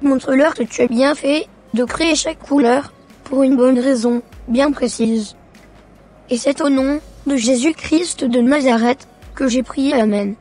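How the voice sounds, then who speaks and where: Cartoon schoolboy, played by a woman: devout, sincere, high-pitched, light music underneath. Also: measured pace, darkish tone. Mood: prayerful. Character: earnest, devout young schoolboy. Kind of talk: scripted cartoon dialogue. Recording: music behind the voice.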